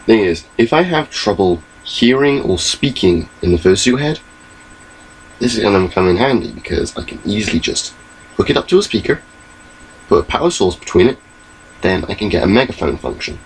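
A young man with a very low, gravelly voice rambles through a casual update from his bedroom. His delivery is lively and full of swings in pitch. A laptop mic catches a faint hum behind him. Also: normal speed, poor audio. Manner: rambling, chatty, gestures a lot. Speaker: vlogger. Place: small bedroom, indoors. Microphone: laptop webcam mic.

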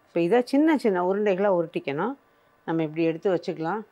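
A woman's voice: on the low side, animated, fast, warm tone. An older woman presenting a cooking show, patiently explaining each step as she works in a studio kitchen.

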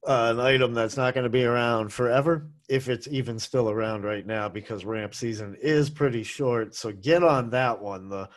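A man's voice: mid-range voice, normal speed, hardly any pauses. A middle-aged man talking through a food review in a loose, rambling way, correcting himself as he goes, into a desk microphone at home. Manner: rambling, chatty, with self-corrections and asides. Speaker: food vlogger. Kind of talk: casual food review monologue. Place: small home room with posters. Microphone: desk microphone on a boom arm, webcam framing.

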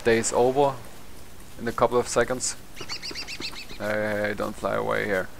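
A man's voice: on the low side, level tone, measured pace, vocal fry, slight background noise. A young man with a German accent, a non-native English speaker, casually commentating his gameplay, rambling, swearing and laughing at himself. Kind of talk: casual let's play commentary. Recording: game music behind the voice.

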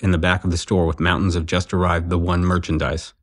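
An actor as the narrator of an audio drama. He has a low, deep voice and reads the stage action plainly. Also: level tone, fairly quick, natural voice. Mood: matter-of-fact. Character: matter-of-fact male narrator reading scene action.

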